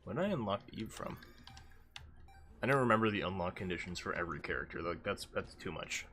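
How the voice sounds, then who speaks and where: A man with a deep, stuffy, congested voice talks through his game run in a loose, rambling way and pokes fun at himself. His pitch swings widely as he thinks aloud, with faint keyboard clicks behind him. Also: expressive, fairly quick, pushed and bright, a little hiss or hum. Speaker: game streamer. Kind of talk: casual gameplay commentary.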